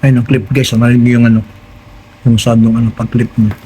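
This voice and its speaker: A man's voice: medium pitch, level tone, a little fast, mellow, slight background noise, rough recording. A Filipino man hosting a casual hands-on tutorial vlog, chatting directly to his viewers. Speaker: vlogger hosting an unboxing and installation.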